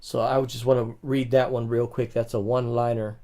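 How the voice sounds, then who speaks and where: A man's voice: medium pitch, level tone, a little fast, mellow. A young man hosting a livestream, reading legal rules aloud in bursts and chatting casually with viewers between passages. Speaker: livestream host.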